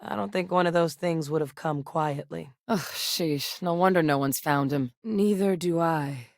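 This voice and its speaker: An actress playing a composed, duty-driven soldier-scientist in a space video game, with a measured, serious, matter-of-fact delivery. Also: female voice, low-pitched, creaky, no echo, studio quality. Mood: guarded, wry. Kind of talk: game character dialogue lines.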